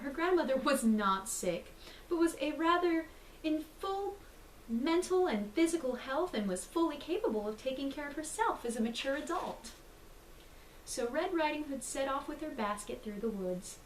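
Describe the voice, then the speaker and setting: A woman reading a bedtime story theatrically, with a mock-solemn, dramatic delivery. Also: fairly high pitch. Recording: roomy, distant mic, faint noise.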